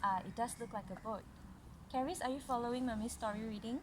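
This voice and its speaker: Woman, storytelling mother with a highish voice, reads to a child and explains as she goes; noisy recording. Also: fast talker. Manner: explains and asks the child questions. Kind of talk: read-aloud storytelling with casual asides to a child.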